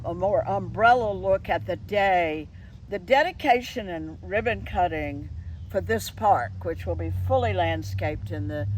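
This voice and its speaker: A woman's voice: fairly low pitch, a little hiss or hum, rough recording. A woman hosting a conversational interview, explaining things in a slightly hesitant, roundabout way. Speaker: interviewer and event organizer.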